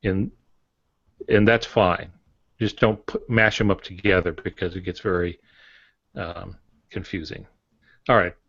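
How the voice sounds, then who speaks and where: Older man, low voice, measured explainer, dry close sound, dull recording. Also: fairly even intonation, gravelly, a bit bright, no echo. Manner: measured, explanatory, hesitant fillers. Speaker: podcast co-host. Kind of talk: casual writing craft explanation.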